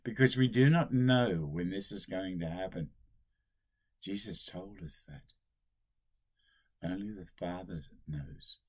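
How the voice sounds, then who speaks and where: An older man, a priest, giving a solemn sermon at a slow, deliberate pace, recorded on a webcam in a small room at home. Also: deep voice, darkish tone, slightly rough.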